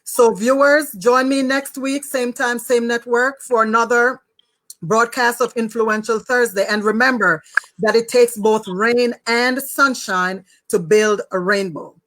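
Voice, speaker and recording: A woman's voice: a little high, fairly even intonation, measured pace, a bit bright. A woman with a Jamaican accent, speaking as the host of an online talk show.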